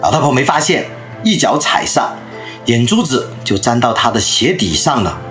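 Animated male storyteller giving a lecture. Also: medium pitch, moderate pace, soft background music. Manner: lively storyteller who acts out anecdotes.

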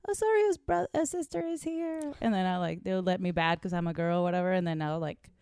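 Clear-voiced woman from Venezuela, chatty storyteller. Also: fairly low pitch, quick pace, no room sound, clean studio sound. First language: Spanish. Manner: animated, profane, giggly, self-mocking. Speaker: podcast guest, comedian. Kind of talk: casual conversational storytelling.

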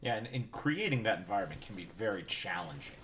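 A man's voice: fairly low pitch, very animated, fast, a bit bright, slight background noise. A man interviewing a fellow teacher, chatty and upbeat. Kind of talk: interview conversation.

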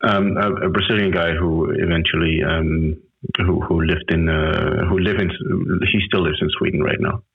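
A man's voice: low-pitched, steady tone, normal speed, gravelly, breathy edge. A man in his late thirties from Denmark, a guest chatting casually on a call, rambling with many restarts. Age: 30s. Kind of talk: casual interview chat. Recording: tinny phone-like audio.

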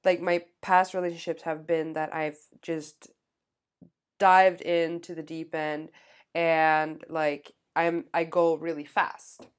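A young woman co-hosting a podcast, talking fast and animatedly with lots of fillers. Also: sits low, flat delivery, clear, resonant tone. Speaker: podcast co-host. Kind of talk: casual chat.

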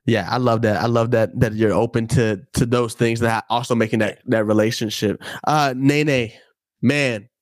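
A man's voice: mid-range voice, steady tone, normal speed, no room sound, studio quality. A man from Arizona hosting a casual podcast interview, laughing often and repeating his phrases. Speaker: podcast host.

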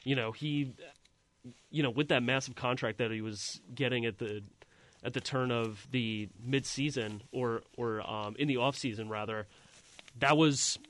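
A man's voice: medium pitch, fairly even intonation, a little slow, a bit bright. A young man hosting a casual sports talk show, posing questions loosely with many fillers. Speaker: host, moderator.

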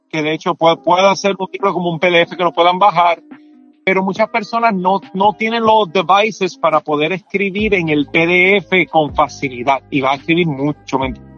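Puerto rican guy explaining stuff, lots of restarts, kinda high voice, muffled lo-fi sound w faint music. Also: normal speed, a bit bright. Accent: Puerto Rican Spanish. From Puerto Rico. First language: English. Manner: explains at length with many restarts and tags like "¿me entiendes?". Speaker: author and performance coach. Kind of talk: casual interview talk.

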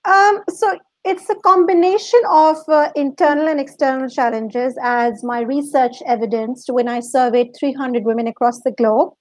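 A woman's voice: high-pitched, steady tone, moderate pace, few pauses, dry recording. A woman from Pakistan answering interview questions as a guest coach, explaining things at length.

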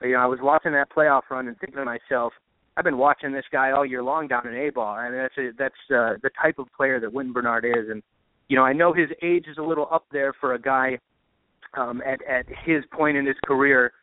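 A man speaking as a baseball announcer guest in a casual sports interview, thinking aloud haltingly and repeating words. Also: mid-range voice, steady tone, no echo. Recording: narrow phone-like line.

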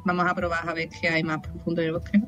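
A man's voice: a little high, completely flat delivery, fast, a bit bright, faint music behind. A man playing a tabletop game, casual and wry, cracking jokes. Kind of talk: casual chat.

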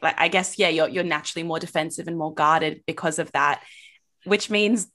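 A woman's voice: low-pitched, a little fast, a bit bright. A woman hosting an interview, bubbly and gushing with exclamations. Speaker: podcast host. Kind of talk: casual interview chat.

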